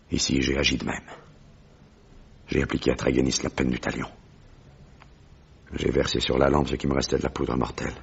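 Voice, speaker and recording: Stern avenging explorer, theatrical radio drama, very husky, forceful, dull lo-fi recording. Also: male voice, a bass voice, full character voice. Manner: grave, deliberate, theatrical confession with bursts of fury. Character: grim explorer avenger, righteous man confessing his revenge. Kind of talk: French radio drama acting.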